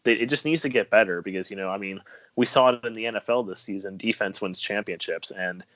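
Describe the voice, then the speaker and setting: Lowish-voiced man, dry close sound. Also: fast talker, a bit bright, no echo. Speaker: sports podcast co-host. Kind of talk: casual sports chat.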